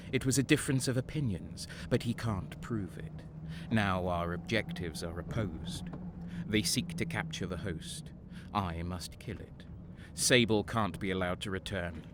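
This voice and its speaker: A man playing a defiant, embittered renegade soldier in a dark sci-fi audio drama, brooding and intense. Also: low-pitched, unhurried, noisy background. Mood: wary, grim.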